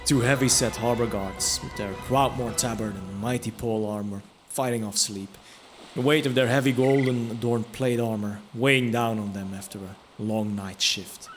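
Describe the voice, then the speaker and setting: A man's voice: medium pitch, fairly even intonation, normal speed. A man narrating a first-person fantasy audio drama in a reflective storytelling manner. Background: music.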